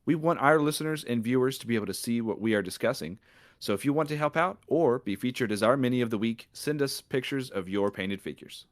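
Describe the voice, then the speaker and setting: A man's voice: medium pitch, lively intonation, brisk, no room sound, clean studio sound. A man co-hosting a podcast, talking in a casual, conversational style. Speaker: podcast co-host. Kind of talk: casual podcast talk and announcements.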